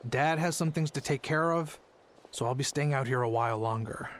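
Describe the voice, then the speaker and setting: An actor playing a nervous, gentle merchant father in a video game, hesitant and placating. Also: mid-range voice, moderate pace, a little hiss or hum, close to an everyday voice. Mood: reassuring.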